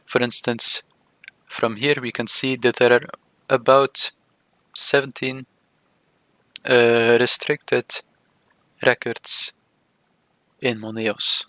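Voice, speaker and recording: A man narrating a software tutorial step by step, speaking in short, measured, halting phrases. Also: medium pitch, flat delivery, no echo. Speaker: software demonstrator. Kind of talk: scripted tutorial narration of a screen demo.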